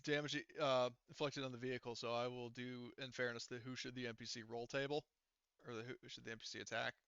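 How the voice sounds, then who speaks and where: A man acting as game master for a tabletop session, with a bright, clear voice and a close, dry sound. He talks casually, working things out aloud and swearing here and there as he narrates. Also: mid-range voice, little pitch movement, fast, no echo, lo-fi sound.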